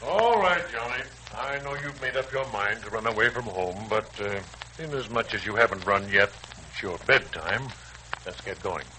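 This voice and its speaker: Bright-voiced wry family man. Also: lowish voice, lively intonation, moderate pace. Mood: firm, patient. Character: wry, firm but easygoing family man. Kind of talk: 1940s radio serial acting. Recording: noisy old 1940s radio recording.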